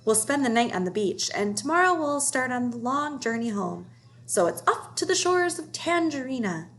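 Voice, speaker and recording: A woman voicing a steady, determined boy rescuer in a storybook, reassuring and encouraging. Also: sits high, moderate pace, exaggerated voice. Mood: eager.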